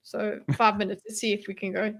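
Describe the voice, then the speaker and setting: A woman's voice: mid-range voice, little pitch movement, brisk, fairly bright tone. A woman hosting a livestream panel, chatting casually in a playful, joking way. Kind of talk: casual reaction chat. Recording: noisy livestream voice chat.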